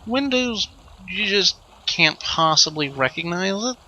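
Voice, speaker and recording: A man hosting a let's play with casual, improvised commentary, rambling and thinking out loud as he goes. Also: sits high, lively intonation, a little slow, highs cut off.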